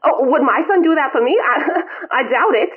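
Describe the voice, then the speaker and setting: A woman's voice: high-pitched, lively intonation, moderate pace. A woman voicing a melodramatic, guilt-tripping elderly mother calling her son from a retirement home, over a phone line. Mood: nagging. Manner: melodramatic, whiny, self-pitying.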